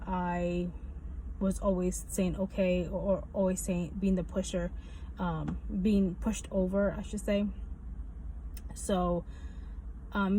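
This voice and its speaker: A woman's voice: fairly low pitch, warm tone, low-quality recording. A woman talking casually in a personal vlog update, rambling and repeating her phrases as she goes. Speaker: video blogger giving a health update. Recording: faint background noise.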